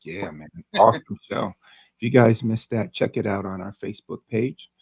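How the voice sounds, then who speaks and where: Easygoing low-voiced man hosting a podcast. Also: animated, moderate pace, creaky, slightly rough, no echo. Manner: easygoing, chatty, long rambling questions. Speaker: podcast co-host. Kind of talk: casual interview hosting. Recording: dull lo-fi dry sound.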